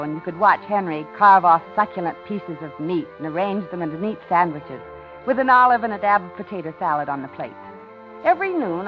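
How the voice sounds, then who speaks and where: A woman's voice: medium pitch, natural voice. An actress playing a woman in danger telling her own story, dramatic and confiding, in 1950s radio drama style. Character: frightened woman narrating her own impending murder. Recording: dull vintage radio, music behind.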